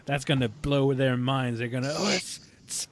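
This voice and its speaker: Man on a fan podcast, average pitch, hesitant and halting, just chatting. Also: steady tone, a little hiss or hum. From Canada. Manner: hesitant, halting delivery with repeated words. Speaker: podcast panelist. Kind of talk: casual fan chat.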